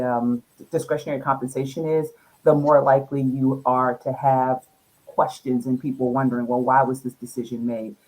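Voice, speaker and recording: A woman's voice: rumbling low, darkish tone, low-quality recording. A middle-aged woman, an HR professional, giving practical advice on a panel in a direct, conversational way.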